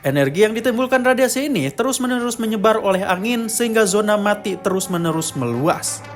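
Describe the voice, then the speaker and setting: A man's voice: high voice, expressive, fairly quick, music in the background. A man narrating a scripted explainer of a horror story. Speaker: narrator of a film lore channel.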